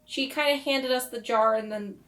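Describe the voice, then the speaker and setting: A woman playing a nervous, shaken young girl in a tabletop game, speaking in a halting, uncertain way. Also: high voice, a bit bright. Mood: unsure, scared. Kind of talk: tabletop roleplay.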